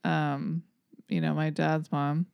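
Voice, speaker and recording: Young woman cohost, chatty storyteller, smooth lowish voice, dry mic. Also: lively intonation, slow, no room sound, muffled recording. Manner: chatty storyteller, lots of fillers and laughs. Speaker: podcast co-host. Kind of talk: casual chat.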